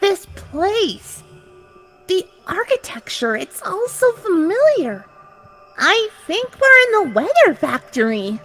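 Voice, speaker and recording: Very high-pitched feisty pony filly. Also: female voice, sing-song, slow, fairly bright tone, faint music behind, full character voice. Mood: awed. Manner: dramatic, defiant. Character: feisty, defiant young pegasus filly.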